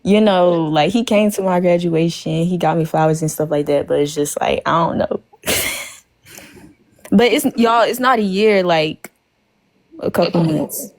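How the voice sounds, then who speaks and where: Hesitant young woman with a gravelly voice. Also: lowish voice, unhurried, darkish tone. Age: young adult. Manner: hesitant, filler-heavy. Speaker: caller.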